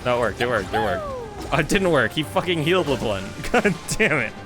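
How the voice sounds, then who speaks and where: A man's voice: a little high, sing-song, fairly quick, over music. A man giving casual, profane gameplay commentary as he streams, often repeating short phrases. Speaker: video game streamer.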